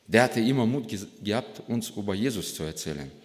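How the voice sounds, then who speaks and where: Deep-voiced man with Russian accent, reverberant hall. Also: animated, fairly quick. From Kazakhstan. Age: 40s. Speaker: guest speaker giving testimony at church. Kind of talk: personal testimony to a congregation. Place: large church hall. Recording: long reverb of a large hall.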